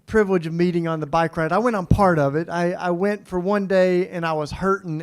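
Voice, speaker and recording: A man speaking as a guest, telling a relaxed, folksy story that turns to scripture. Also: fairly high pitch, clean tone. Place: indoor event venue.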